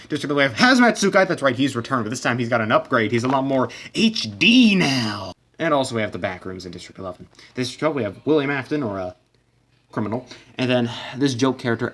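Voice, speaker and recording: A man hosting a simulation with casual, rambling commentary, stumbling over words and dropping deadpan asides. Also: medium pitch, slight background noise. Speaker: host narrating a simulation.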